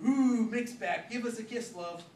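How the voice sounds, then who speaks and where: A man's voice: sits high, a little slow, pitched above the performer's own voice. A man voicing a teasing, camp male musician. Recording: roomy, distant, noisy recording.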